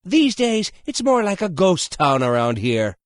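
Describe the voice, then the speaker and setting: An actor playing a stern, protective older tavern keeper in a video game, blunt and no-nonsense. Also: male voice, sits high, huge pitch swings, unhurried, no echo, studio quality, exaggerated voice. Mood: gruff. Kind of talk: video game character acting.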